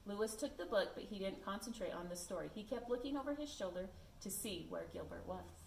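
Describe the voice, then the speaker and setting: A woman reading a children's picture book aloud in an expressive, animated way. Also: medium pitch, brisk, creaky. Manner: animated, acts out the dialogue. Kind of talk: expressive storybook read-aloud. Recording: echoey room, distant mic, background noise.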